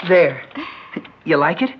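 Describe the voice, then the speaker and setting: An actor in an old radio drama playing a cheerful, helpful teenage grandson, plainspoken and friendly. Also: male voice, fairly high pitch, big pitch swings, slow, husky, full character voice. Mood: friendly, eager. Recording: muffled, hissy old radio recording.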